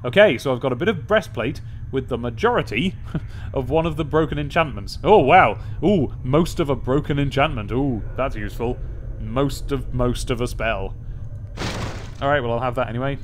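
A young man giving a gaming commentary, thinking aloud and reading game text in a playfully expressive way, close to the mic. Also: medium pitch, moderate pace, slight background noise. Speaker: let's play host. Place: small indoor room at a desk. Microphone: close studio mic on an arm. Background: game music.

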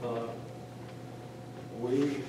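A man applying for a rezoning at a county meeting, speaking haltingly with many fillers and self-corrections. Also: mid-range voice, level tone, noisy background. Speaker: applicant. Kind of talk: public comment at county meeting. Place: large county commission chamber. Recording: echoey chamber, distant mic, clear ambience.

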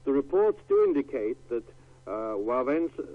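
A man's voice: mid-range voice, sing-song, normal speed. A man reading the news as a radio newsreader. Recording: tinny narrowband radio broadcast.

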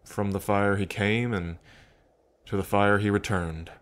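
A dragon king, acted by a man speaks intimately to the listener in an ASMR roleplay. His voice sits fairly low and he takes his time, very slow and musing, with pauses between thoughts. He comes across as fond, reflective and proud of his ancestors. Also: fairly even intonation, lightly acted. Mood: reverent, reflective. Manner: unhurried, musing, pausing, softly chuckling between thoughts.

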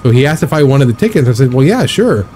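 A man in his thirties chatting casually while live-streaming a driving game, rambling with a slightly raspy, scratchy throat. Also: mid-range voice, very animated, fast, warm tone, faint music behind. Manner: rambling, chatty, reads and answers chat.

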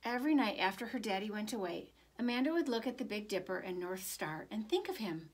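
A woman's voice: mid-range voice, expressive, fairly quick, breathy edge, rough recording. A middle-aged woman with an American accent, reading a children's picture book aloud expressively. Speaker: children's story reader. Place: indoors, library room with bookshelves.

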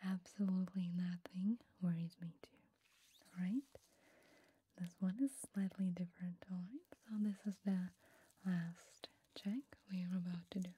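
A woman speaks in an extremely breathy, mostly unvoiced whisper, playing an examiner in an ASMR roleplay. Her tone is soft and relaxed, and she goes slowly, leaving small pauses as she gently reassures the listener. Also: lowish voice, no room sound.

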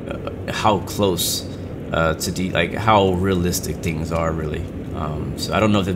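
Low-voiced man chatting over gameplay. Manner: rambling, chatty, many fillers and restarts. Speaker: gaming channel host. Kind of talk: casual gameplay commentary. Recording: game audio rumble behind.

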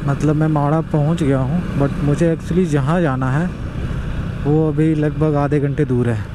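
A young man giving casual, excited running commentary to viewers while riding a motorbike outdoors. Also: on the high side, a little slow. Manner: excited, chatty, talks to viewers. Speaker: travel vlogger. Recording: road and traffic noise behind.

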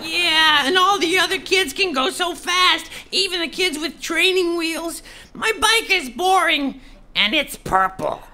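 Very high, sulky little kid. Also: male voice, expressive, measured pace, heavily acted. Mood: whiny. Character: sulky, slow-talking little child with a bike. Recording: loud noise with clicks.

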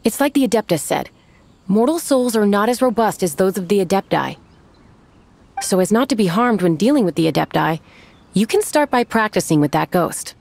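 A woman playing a composed, shrewd innkeeper in a video game, knowing, teasing and unhurried. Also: mid-range voice, lively intonation, vocal fry, darkish tone. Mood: composed instructing. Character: shrewd, composed innkeeper boss lady, coolly amused.

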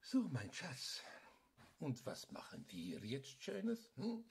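Man acting doting husband, gravelly, animated, children's radio play. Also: fairly high pitch, takes it slowly, slight background noise, heavily acted. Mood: amorous. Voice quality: gravelly, husky. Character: doting husband and family father. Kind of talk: children's audio drama.

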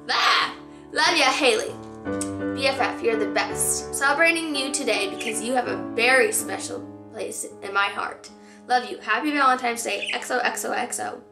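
Hoarse, gushing young woman. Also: a little high, echoey room. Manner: gushing, excitable. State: hoarse, losing her voice. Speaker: vlogger. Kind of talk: casual chat to camera. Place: living room, on a couch.

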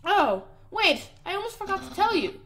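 A woman's voice: very high-pitched, sing-song, moderate pace, fairly bright tone, exaggerated voice. A woman voicing a boastful, friendly and naive male skeleton from a game, announcing things theatrically.

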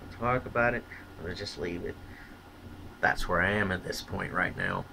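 A man's voice: sits low, normal speed, noisy background. A man delivering a blunt, profane, contemptuous opinion rant as a solo vlogger.

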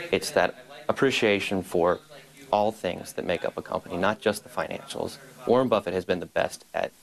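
A man's voice: sits low, creaky, poor audio. A man who teaches a university business course, explaining in a lecture-like style.

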